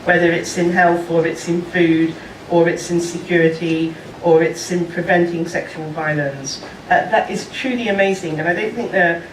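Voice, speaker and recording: Diplomat woman, halting w lots of ums, far from the mic in a big echoey room. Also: fairly low pitch, steady tone, slight background noise. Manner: measured, hesitant, many fillers. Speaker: diplomat at a national mission. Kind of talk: panel discussion remarks. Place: large conference room.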